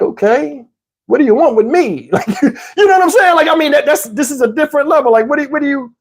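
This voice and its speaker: A man's voice: high-pitched, a little mellow. A man telling a personal story in a casual, comedic, slangy way, animatedly acting out the dialogue. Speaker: storyteller, channel host.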